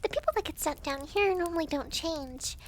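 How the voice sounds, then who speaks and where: A woman's voice: high voice, lightly acted. A woman playing a chatty, teasing young devil girl clerk in an ASMR roleplay, speaking to the listener in a breezy, giggly way. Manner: giggly, breezy, teasing, halting when flustered.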